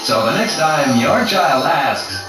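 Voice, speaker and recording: Lively, chipper corporate pitchman. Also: takes it slowly. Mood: reassuring, salesy. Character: smooth-selling, chipper male corporate pitchman. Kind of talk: promotional infomercial in a game. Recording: echoey, distant promo audio with music.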